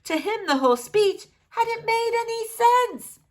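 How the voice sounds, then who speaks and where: A woman's voice: very high voice, animated, measured pace. A woman reading a rhyming children's story aloud, theatrically, with sing-song emphasis. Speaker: storybook reader.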